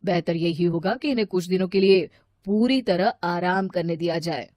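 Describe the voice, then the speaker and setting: A woman voicing a calm, authoritative male doctor, measured and explanatory, in a cartoon moral story. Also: fairly low pitch, dry recording, studio quality, close to an everyday voice. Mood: calm advising.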